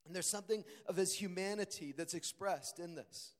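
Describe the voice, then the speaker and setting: A man preaching a sermon, his voice hoarse and strained, earnest and rising to emphatic peaks. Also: high-pitched, normal speed. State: strained voice, deeper than usual. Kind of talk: sermon reading and expounding scripture. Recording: long hall reverb.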